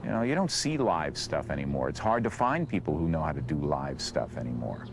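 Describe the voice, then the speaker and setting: A man's voice: very deep voice, sing-song, brisk, vocal fry, background noise. A man talking casually as an interviewed cast member.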